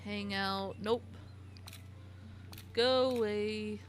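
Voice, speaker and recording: A woman's voice: medium pitch, steady tone, extremely slow, soft background music. A woman in her late twenties chatting casually on a live stream while she plays, giggly and talkative, answering her chat by name. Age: 20s.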